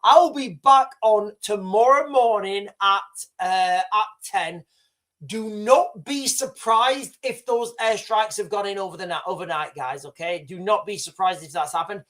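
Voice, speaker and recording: A man's voice: high-pitched, a little slow, a bit bright. A man, hosting a casual live stream in a rambling way, repeating himself and calling the viewers guys. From United Kingdom. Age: 40s. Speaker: livestream host.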